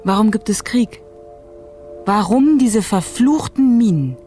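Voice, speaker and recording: A woman's voice: mid-range voice, lively intonation, slow, dark tone, soft background music. A woman playing a troubled, caring female narrator in a radio drama. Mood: despairing. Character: troubled, caring woman telling a sick boy's story.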